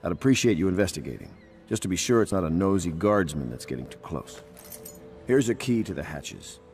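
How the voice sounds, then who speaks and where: An actor playing a grave, resolute loyalist leader in a video game, speaking in a formal, measured, oratorical way. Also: male voice, sits low, big pitch swings, darkish tone. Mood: businesslike. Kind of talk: scripted game dialogue.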